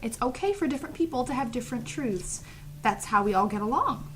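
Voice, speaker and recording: Woman acting a strict, high-voiced lady trainer. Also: lively intonation, brisk, soft background music, natural voice. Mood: lecturing. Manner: stern, lecturing. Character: strict, self-righteous female trainer of children. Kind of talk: cast table reading of audio drama.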